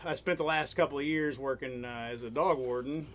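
A man chatting casually as a guest musician, relaxed and rambling with plenty of fillers. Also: medium pitch, a little fast. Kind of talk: casual chat.

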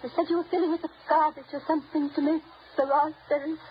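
A woman's voice: high-pitched, little pitch movement, quick pace, slight background noise, natural voice. A woman playing a frail, nervous old woman in a wheelchair in an old-time radio drama, stammering and pleading. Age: older. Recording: dull lo-fi radio recording.

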